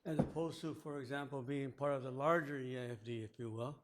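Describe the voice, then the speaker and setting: A man's voice: medium pitch, steady tone, quick pace, noisy background. A man on a county board asking questions and urging caution, explaining points at length at a public meeting. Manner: cautionary, explanatory, thinking aloud. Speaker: board member.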